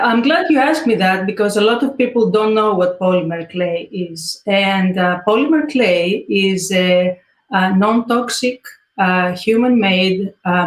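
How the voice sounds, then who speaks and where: A woman from Greece with a Greek accent, speaking English as a second language in an interview, pausing often with fillers. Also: medium pitch, roomy sound. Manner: hesitant, many fillers. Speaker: guest artist. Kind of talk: interview answers.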